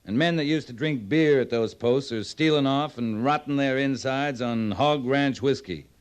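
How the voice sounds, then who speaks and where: An actor playing a terse, dry-witted American cavalry captain in an old-time radio western. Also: male voice, mid-range voice, normal speed, smooth, close to an everyday voice. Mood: blunt, skeptical. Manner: terse, deadpan, plain-spoken.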